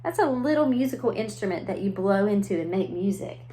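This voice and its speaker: A woman's voice: medium pitch, expressive, a little fast, warm tone. Woman reading aloud to preschoolers. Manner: simple explanations and questions to young listeners. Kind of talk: children's read-aloud with asides.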